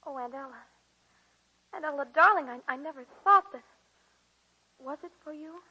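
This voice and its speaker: An actress playing a sweet, hesitant young bride-to-be in a 1940s radio drama. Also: female voice, sits high, moderate pace, bright, forceful tone. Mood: tender, hesitant. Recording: dull 1940s radio broadcast.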